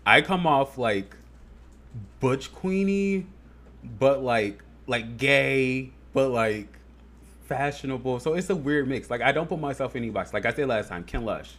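A man co-hosting a podcast, rambling and laughing in a relaxed chat. His pitch sits right in the middle for a man, and his talk is loose and full of fillers. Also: measured pace. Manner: chatty, laughs a lot, many fillers. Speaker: podcast co-host. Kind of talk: casual chat.

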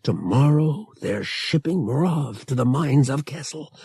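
A man playing a young male rogue in an audiobook, gentle and reassuring but urgent as he coaxes his sweetheart. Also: medium pitch, animated, a little slow, slightly rough, dry recording, voice pushed up, exaggerated voice. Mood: gentle urgency. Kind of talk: audiobook character dialogue.

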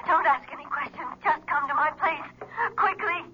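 Troubled wife played by a woman, anxious, emotional, high-pitched, seventies radio play with tinny phone-like sound. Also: moderate pace, close to an everyday voice. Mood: scared, urgent. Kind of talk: 1970s radio drama acting. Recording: muffled old radio broadcast, noisy, phone-like.

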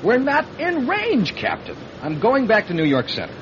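Anxious airline co-pilot, pitch leaping around. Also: male voice, huge pitch swings, moderate pace, exaggerated voice. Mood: alarmed. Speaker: actor in a radio drama. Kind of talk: old-time radio drama acting. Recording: muffled, faintly noisy radio drama recording.

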